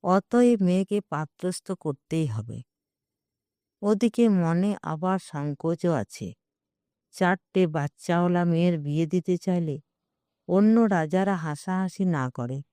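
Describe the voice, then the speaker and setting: A woman's voice: on the low side, expressive, slow pace, mellow, no room sound, studio quality. A woman reading a scripted literary story in the first person, with a wry, dramatic manner. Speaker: story narrator.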